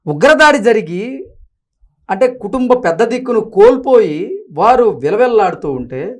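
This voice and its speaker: A woman's voice: a little high, sing-song, measured pace. A woman hosting a news discussion and commenting on reports. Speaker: news talk show host.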